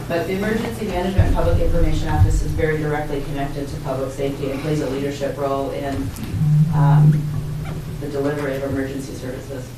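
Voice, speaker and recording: A woman official explaining things off the cuff at a public meeting, in a halting way. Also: low voice. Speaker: county emergency management director and public information officer. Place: public meeting room indoors. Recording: echoey room from far off, noisy.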